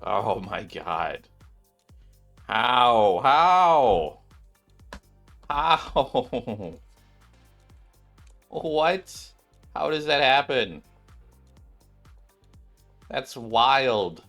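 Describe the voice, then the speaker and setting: A man hosting a gaming channel with casual, excitable commentary, often exclaiming and repeating his phrases. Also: medium pitch, drawn-out words, gravelly, long pauses. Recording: music behind the voice.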